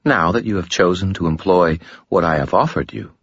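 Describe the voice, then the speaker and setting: A man voicing a serene, elegant female demon who speaks formally and with gentle amusement. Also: low voice, brisk, breathy edge, no echo, dull audio, full character voice. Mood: gently amused.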